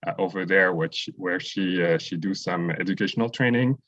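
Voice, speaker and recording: A man speaking as a panelist, halting and hesitant with many fillers. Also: deep voice, fairly bright tone. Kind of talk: panel talk. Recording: dull, dry sound.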